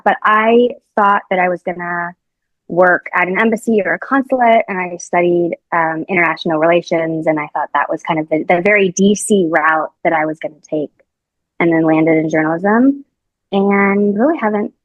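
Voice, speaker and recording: A woman's voice: lowish voice, a little fast, no echo, dull audio. A young adult woman reporter, a podcast guest, answering questions in a chatty way with many fillers and restarts. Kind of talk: casual interview answers.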